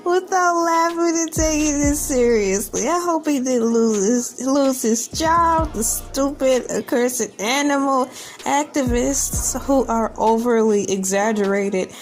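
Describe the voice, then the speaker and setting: A woman with a slightly high voice hosts a casual online commentary. She reads an article aloud very slowly, in a halting, drawn-out way, and adds playful asides. Faint music plays under her voice. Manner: playful, giggly, easily amused by what she reads. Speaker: online video host.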